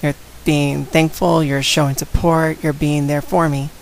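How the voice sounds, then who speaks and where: A woman with a slight head cold and a raspy voice, talking casually and outspokenly straight to her viewers in a vlog. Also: deep voice, flat delivery, a little slow, a little hiss or hum. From San Antonio, Texas. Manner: outspoken, direct, addresses viewers. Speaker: vlogger. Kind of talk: casual talk to camera.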